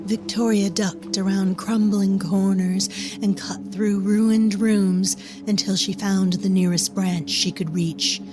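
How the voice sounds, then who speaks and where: A woman narrator tells a dark tale slowly and quietly. Her medium-pitched voice is deliberate and sits over clear background music. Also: steady tone, low-quality recording.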